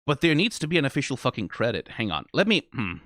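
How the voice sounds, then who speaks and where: A man with a medium-pitched voice hosts an unscripted reaction video. He sounds tired and talks informally, swearing for emphasis and often halting or trailing off mid-thought. The sound is dry, with very little room in it. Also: very animated, a bit bright, no echo. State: tired after being awake for twenty hours. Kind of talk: off-the-cuff reaction commentary.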